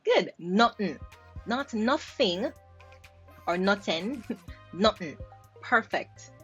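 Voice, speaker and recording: A woman's voice: mid-range voice, animated, very slow, fairly bright tone, lo-fi sound, soft background music. A Jamaican woman linguist explaining pronunciation. She repeats words aloud to show how they sound and laughs lightly. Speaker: linguist host. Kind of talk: explaining pronunciation while reacting to clips.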